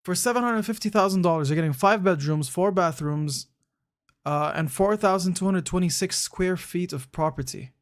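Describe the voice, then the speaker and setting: A man's voice: high voice, normal speed. Laid-back young man chatting on stream. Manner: laid-back, slangy, calls chatters bro; thinks aloud with frequent restarts. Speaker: live streamer. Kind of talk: casual live-stream chat answering viewers.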